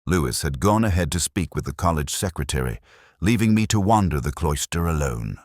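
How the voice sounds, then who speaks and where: Male narrator, extremely low pitch, dry close sound; measured, reflective detective storytelling. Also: lively intonation, darkish tone, no room sound. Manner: measured, reflective literary delivery. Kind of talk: scripted first-person detective story narration.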